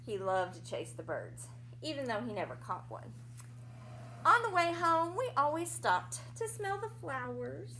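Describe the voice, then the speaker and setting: Animated, higher-pitched woman reading to children. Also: a little slow, a little hiss or hum, low-quality recording. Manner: simple, explanatory phrasing for small children. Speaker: teacher reading aloud to young children. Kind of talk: animated picture-book read-aloud with asides and questions to children.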